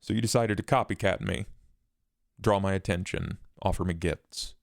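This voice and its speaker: A man voicing a cold, controlled killer in a tabletop role-play, questioning in a deliberate, formal and menacing way. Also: low-pitched, fairly even intonation, gravelly, no echo, studio quality. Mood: cold, contemptuous. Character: cold, controlled male killer interrogating his copycat.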